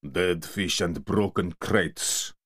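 A man voicing a burly, bear-like dockside mafia man who speaks calmly and unhurriedly. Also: low voice, slow, exaggerated voice.

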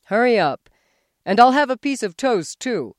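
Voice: mid-range voice, big pitch swings, slow, no echo, studio quality, pitched above the performer's own voice. A reader voicing a demanding, hysterical middle-aged husband who whines and accuses. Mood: shrill complaint. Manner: complaining, accusing, rising to yells.

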